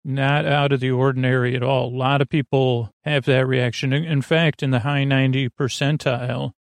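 Man, heavy vocal fry, dry recording, casual podcast host, meandering, stop-start delivery. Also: medium pitch, level tone, no room sound. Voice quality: creaky. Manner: meandering, halting, trailing off mid-thought and circling back. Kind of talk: rambling casual monologue.

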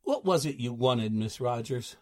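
A man playing a flustered, courteous husband under questioning, halting and defensive, in 1940s radio drama style. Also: mid-range voice, animated, darkish tone, close to an everyday voice. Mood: nervous, courteous.

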